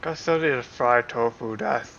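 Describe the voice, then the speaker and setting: A man's voice: medium pitch, normal speed, fairly bright tone, lo-fi sound, a little hiss or hum. A man chatting casually on a game livestream, rambling and thinking aloud. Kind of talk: casual livestream chat and reactions. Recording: game music behind.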